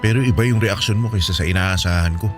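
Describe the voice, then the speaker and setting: A man's voice: low voice, fast talker, close to an everyday voice. An actor playing a homesick Filipino seaman husband in a radio drama, anxious, hesitant and pleading with his wife. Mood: hurt, protesting. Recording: music bed under the voice.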